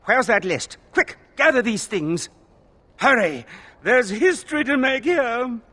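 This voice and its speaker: High-voiced, fussy old craftsman. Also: expressive, measured pace, bright, forceful tone, full character voice. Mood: awed, excited. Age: older. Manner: affected, precious, breathlessly dramatic. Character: fussy, theatrical old male master craftsman, dreamy perfectionist. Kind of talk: fantasy video game acting.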